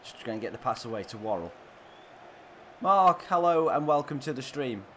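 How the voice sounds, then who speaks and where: A hoarse man, streaming live and giving excitable, chatty play-by-play to his viewers. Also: medium pitch, normal speed, a little hiss or hum. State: hoarse, scratchy throat. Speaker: game streamer. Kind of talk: live play-by-play commentary while gaming.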